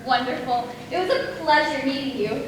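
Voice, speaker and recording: A woman's voice: high voice, normal speed, natural voice. A young woman playing a sweet, naive, starstruck aspiring actress in a school stage comedy, polite and gushing. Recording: echoey stage, distant mic, noisy.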